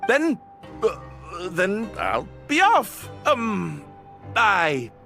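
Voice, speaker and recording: A shy young male prisoner, flustered and stammering. His bright, high voice swoops widely and drags slowly. Game music plays faintly behind. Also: huge pitch swings, vocal fry, full character voice. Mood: nervous. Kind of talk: video game voice acting.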